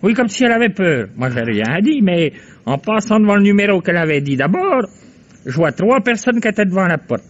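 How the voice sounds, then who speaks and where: An actor playing a wary old Parisian cab driver in a radio drama, with speech, hesitant and rambling. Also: male voice, high-pitched, big pitch swings, a little fast. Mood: rambling recollection. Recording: dull vintage radio recording.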